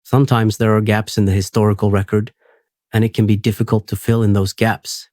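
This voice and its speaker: Guy reading as a woman historian, earnest, low monotone, dry sound. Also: brisk, dry recording, lightly acted.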